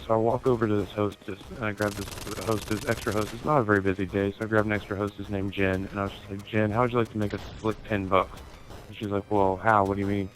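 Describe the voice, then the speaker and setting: A man's voice: fairly low pitch, rough recording. A man telling a casual comic anecdote while playing a game, swearing freely and acting out both sides of conversations. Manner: sweary, animated retelling with acted-out dialogue. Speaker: storyteller in an online gaming video. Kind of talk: casual comic anecdote told over gameplay.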